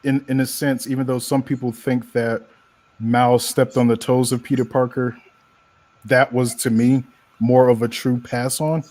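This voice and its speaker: A man's voice: medium pitch, flat delivery, moderate pace, a little mellow. Conversational man from North Carolina. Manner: conversational, repeats words while thinking. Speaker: comics creator on a panel. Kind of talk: casual panel discussion.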